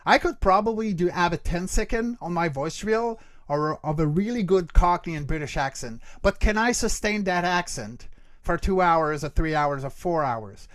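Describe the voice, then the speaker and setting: Guy, kinda high voice, casual expert explaining stuff with examples, no room echo. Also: animated, moderate pace, a bit bright, studio quality. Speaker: guest expert, creature voice actor and workshop teacher. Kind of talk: casual explanatory interview answers.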